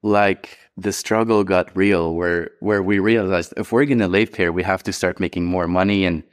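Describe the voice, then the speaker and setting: A man in his thirties with a deep, low voice. He talks easily and casually about his own finances, with a light chuckle here and there. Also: steady tone, normal speed. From Hungary. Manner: easygoing, chuckles now and then. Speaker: guest. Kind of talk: casual personal money story.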